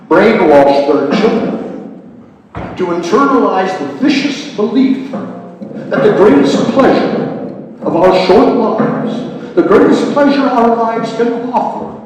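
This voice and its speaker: A man reading his own poetry aloud at a live reading, in a deliberate, declamatory way with frequent pauses. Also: high-pitched, darkish tone, a little hiss or hum. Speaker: poet reading own work. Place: indoor gallery reading. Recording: very echoey hall, distant mic, music behind. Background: music.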